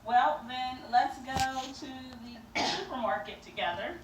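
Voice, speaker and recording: A woman's voice: fairly high pitch, expressive, measured pace. A woman performing a playful home puppet show in a giggly, improvised way. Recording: echoey room, distant mic, loud noise.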